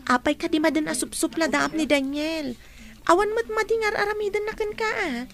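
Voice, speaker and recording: A woman playing a sensible teenage schoolgirl in a radio drama, chatty and gently scolding, with an Ilocano Filipino accent. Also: very high voice, brisk, a crowd in the background. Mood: chiding. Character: teenage schoolgirl, sensible, chiding best friend.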